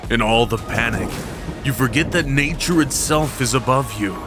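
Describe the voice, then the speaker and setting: A man narrating a scripted dramatic story in the second person, with a measured, solemn manner. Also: mid-range voice. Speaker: narrator and voice performer. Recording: prominent music under the voice. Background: dramatic background music.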